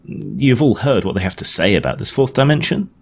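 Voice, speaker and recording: A man voicing an earnest Victorian gentleman scientist in an audiobook, lecturing his guests in a precise, emphatic, didactic way. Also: mid-range voice, very animated, fairly quick, voice pushed up. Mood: animated lecturing. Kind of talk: audiobook character dialogue. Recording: clearly noisy home recording.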